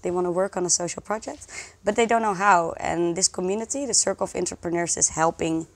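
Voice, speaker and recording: A woman's voice: on the low side, quick pace. A woman speaking as a guest in a conversational interview, explaining things with enthusiasm. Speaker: interview guest, social project community organizer.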